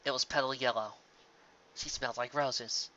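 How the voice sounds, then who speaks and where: Male storyteller, mid-pitched, sharp tense tone, emotive dramatic reading, lo-fi home sound. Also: steady tone, normal speed, a little hiss or hum. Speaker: narrator. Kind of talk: dramatic scripted fan-fiction narration. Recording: dull lo-fi home recording.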